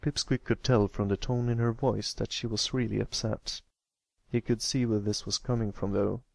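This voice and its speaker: A man's voice: fairly low pitch, steady tone, normal speed, creaky, no echo. A man reading a scripted story aloud as an amateur narrator. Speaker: amateur fan fiction reader.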